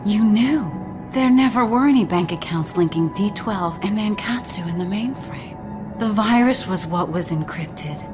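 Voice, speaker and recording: A woman's voice: mid-range voice, lively intonation, unhurried, music in the background, lightly acted. A woman playing an exhausted, betrayed spy agent in an audio drama, confrontational, bitter and furious. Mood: angry disbelief.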